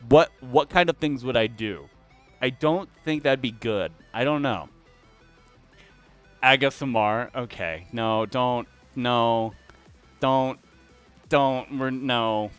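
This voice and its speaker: A man's voice: medium pitch, dry recording. A young man streaming, chatting casually and rambling, often cutting himself off to answer chat. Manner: rambling and self-interrupting, talks back to chat.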